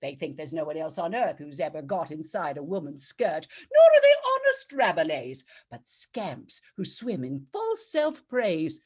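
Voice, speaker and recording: A woman's voice: sits low, wildly swooping pitch, measured pace, hardly any pauses, darkish tone, breathy edge, heavily acted. A woman playing a righteous female judge, declaiming comic rhyming verse theatrically and savouring each rhyme. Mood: scornful. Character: regal, righteous female judge of a bawdy court. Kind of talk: dramatic verse reading, rhyming comic poem.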